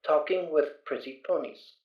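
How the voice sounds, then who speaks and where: A man's voice: on the high side, moderate pace, dull audio, lightly acted. A man voicing the automated computer of an armored suit, reading out clipped system-status announcements. The audio is roomy and distant. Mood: monotone.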